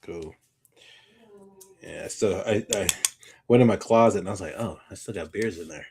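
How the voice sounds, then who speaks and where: Low-voiced man chatting casually on a podcast. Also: normal speed, vocal fry, noisy background. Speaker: podcast co-host. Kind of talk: casual live podcast chat.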